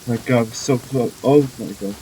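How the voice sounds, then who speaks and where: A man's voice: medium pitch, normal speed, dark tone, poor audio. A man giving casual let's play commentary, reacting to the game in short, sparse muttered remarks. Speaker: gaming YouTuber. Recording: faint noise, game audio behind.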